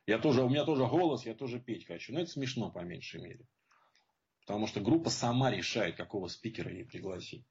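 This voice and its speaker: A man with a husky voice giving an informal, opinionated spoken answer as a guest speaker, explaining point by point. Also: medium pitch, darkish tone. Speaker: guest speaker at support group seminars. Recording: dull lo-fi audio.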